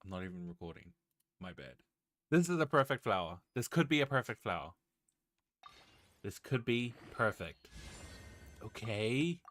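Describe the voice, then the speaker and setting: A man's voice: on the low side, very animated, moderate pace, long pauses, a bit bright, dry recording. A man chatting casually and giggly while live-streaming a game, reacting as he plays.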